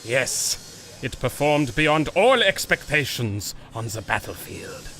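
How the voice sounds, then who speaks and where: An actor playing a proud, confident German baron and war officer, suave and commanding with a German accent in a radio drama. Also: male voice, medium pitch, very animated, takes it slowly, sharp tone, exaggerated voice. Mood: smug, triumphant. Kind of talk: radio adventure drama acting.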